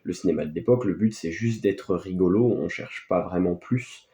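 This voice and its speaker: Guy chatting offhand about films, kinda rambly, soft dark tone, roomy echo. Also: sits low, moderate pace. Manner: hesitant, rambling, offhand delivery. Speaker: film review host. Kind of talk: casual unscripted film commentary. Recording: echoey, roomy sound.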